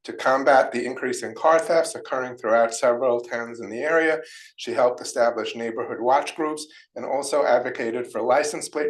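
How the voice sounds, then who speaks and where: A man with a mid-range voice reads a prepared tribute at a township meeting. His delivery is steady and formal. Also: mid-range voice, rough recording. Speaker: committee member reading a tribute.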